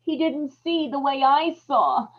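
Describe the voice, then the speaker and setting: A woman's voice: sits high, slight background noise. A woman playing a guilty, unhinged wife giving an intense, dramatic confession in an old-time radio horror play. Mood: horrified. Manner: intense, emphatic, repetitive storytelling with dramatic pauses. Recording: dull lo-fi live radio-play audio.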